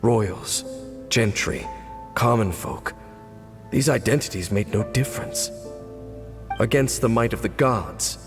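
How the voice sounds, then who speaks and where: A solemn, centuries-old knight of a royal guard in a video game. The voice is on the low side, formal and measured, heavy with weary gravity, over prominent background music. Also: male voice, long reverb tail. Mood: grave, sorrowful.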